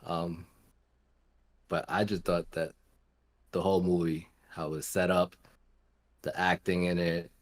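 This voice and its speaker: Man, extremely low voice, casual panelist, hesitant loose phrasing, opinion chat. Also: flat delivery, unhurried, pauses often. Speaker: gaming show panelist.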